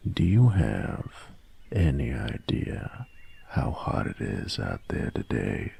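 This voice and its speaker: A man voicing a cocky, teasing young bully who is secretly smitten, in a husky, slangy intimate audio roleplay. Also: sing-song, slow, dark tone, heavily acted. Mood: mock-annoyed, cocky. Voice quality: very deep, gravelly, husky. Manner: swearing, teasing, smug slangy drawling delivery.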